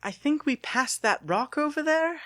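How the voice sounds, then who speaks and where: A man's voice: very high-pitched, animated, moderate pace, sharp tone, no room sound, clean studio sound, pitched above the performer's own voice, heavily acted. A man voicing a worried, hesitant young woman in an audiobook story. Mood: uneasy, doubtful.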